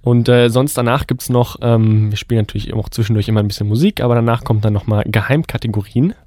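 A man's voice: mid-range voice, quick pace, warm tone, no echo, clean studio sound. A young man with a cold, co-hosting a student radio podcast in a loose, rambling way with many fillers and restarts. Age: young adult. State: has a cold. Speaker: podcast co-host. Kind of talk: casual radio podcast chat.

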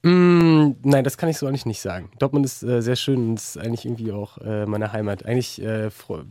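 A young man in a casual interview, talking hesitantly with many fillers and restarts. His voice is dark and mellow, recorded close and dry. He is a musician speaking as a guest. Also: medium pitch, brisk, no room sound. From Germany.

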